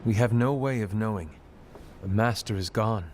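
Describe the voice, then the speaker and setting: An actor playing a grave, suspicious young leader in a fantasy game cutscene, speaking in a measured, formal way. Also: male voice, lowish voice, darkish tone, slight background noise, natural voice. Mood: troubled, pensive. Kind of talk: dubbed animated fantasy game cutscene acting. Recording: orchestral game score behind voice. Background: music.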